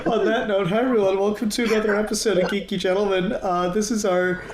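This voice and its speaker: A man in his thirties hosting a podcast in casual chat, laid-back and swearing, halting with fillers and laughing often. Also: high voice, little pitch movement, noisy background. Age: 30s. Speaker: podcast host. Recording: echoey room.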